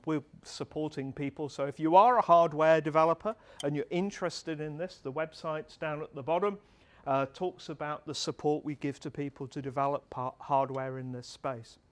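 Middle-aged male presenter, a touch high-pitched, conversational and earnest on a handheld mic in a large event hall. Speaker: speaker at a tech meetup. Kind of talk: conference talk.

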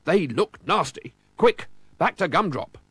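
A man voicing a bold, commanding older gentleman motorist in a storybook reading. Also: high-pitched, lively intonation, unhurried, breathy edge, no room sound, pitched above the performer's own voice, exaggerated voice. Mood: alarmed. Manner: exclaiming, commanding.

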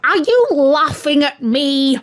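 A woman's voice: high voice, lively intonation, slow, voice pushed up. A woman voicing an impatient, hungry male turkey who complains indignantly.

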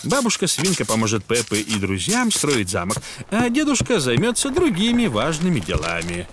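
A man's voice: medium pitch, huge pitch swings, moderate pace, noisy background. A man narrating a preschool cartoon in a children's storytelling style. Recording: music behind the voice.

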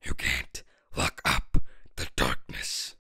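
A young man voicing a menacing superhero-show villain, slow and gravelly, processed with a pitch-lowering effect. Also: sharp tone, faint music behind. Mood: slow menace. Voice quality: gravelly, rasping. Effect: pitch lowered, slowed, reverb and bass boost added in stages.

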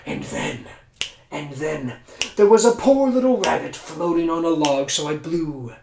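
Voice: medium pitch, a little slow, recorded from a distance. Kind-hearted storybook dragon, earnest and a bit breathless , as a reader puts him on; echoey, noisy room. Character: kind, gentle male dragon explaining himself. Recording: echoey room with clear background noise.

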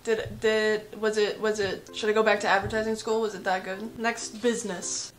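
A woman's voice: mid-range voice, level tone, normal speed, music in the background, rough recording. A young woman talking straight to camera from a small bedroom, chatty and self-mocking, with a giggly edge. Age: young adult. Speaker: comedy YouTuber. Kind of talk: casual chat to camera.

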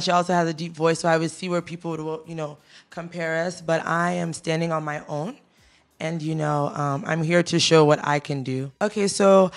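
A young woman singer from Ghana, raised in America, casually answering interview questions in a studio with a handheld mic. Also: low voice. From Ghana, raised in the United States. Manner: easygoing, many fillers like "you know" and "um". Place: studio with a teal backdrop. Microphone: handheld mic close to the mouth.

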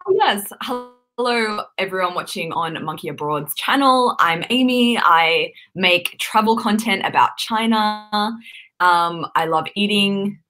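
A woman's voice: medium pitch, expressive, a little slow, sharp tone. A woman in her twenties with an Australian accent, chatting and laughing on a video call from a room at home, with lots of ums. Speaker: YouTuber co-hosting a live stream. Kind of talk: casual chat. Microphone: webcam on a video call.

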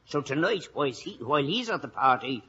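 Bright, blustery old Irish police sergeant. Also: male voice, a little high, big pitch swings, a little fast, husky, heavily acted. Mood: scheming. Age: older. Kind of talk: 1950s radio comedy acting. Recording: muffled vintage radio broadcast.

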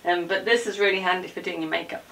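A woman's voice: lowish voice, fairly even intonation, fast talker, creaky, fairly bright tone. A woman in her forties chatting casually and informally as a vlogger, with rambling asides as she moves about a room at home. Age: 40s. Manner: informal, rambling asides while moving about showing things. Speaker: vlogger giving a room tour. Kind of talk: casual chatty vlog talk. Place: indoors, small home dressing room. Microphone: camera a few feet away. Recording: distant camera mic, background noise and clicks.

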